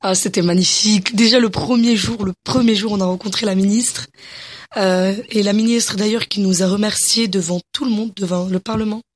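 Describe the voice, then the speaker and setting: Young woman with a husky voice. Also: medium pitch, steady tone, a little fast. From Morocco. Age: young adult. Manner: enthusiastic, many hesitations and restarts. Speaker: interviewee, young entrepreneur. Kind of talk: casual interview answers.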